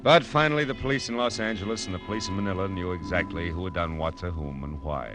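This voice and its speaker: An actor playing a sardonic, hard-boiled private eye in an old radio noir, with wry, clipped delivery. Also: male voice, sits low, fast talker, over loud music, lightly acted. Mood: wry, world-weary. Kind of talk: 1940s-50s radio noir acting and narration. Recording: vintage radio broadcast recording.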